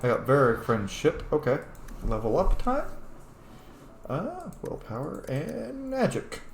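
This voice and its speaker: A man streams a video game with casual, playful commentary, often talking back to it. His pitch moves a lot, and the room adds noticeable echo over faint background noise. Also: wildly swooping pitch, measured pace, creaky.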